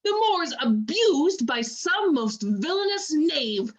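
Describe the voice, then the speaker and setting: A woman playing an outraged, sharp-tongued, loyal waiting-woman in a Shakespearean radio play, emphatic and scornful. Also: sits high, big pitch swings, slow pace, heavily acted. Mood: furious, scornful.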